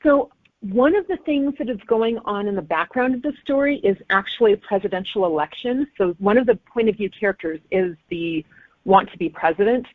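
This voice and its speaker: A woman's voice: mid-range voice, brisk, no echo. Female author being interviewed, casual and conversational, mid-range voice through a phone-quality connection. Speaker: author guest. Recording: thin phone-like line.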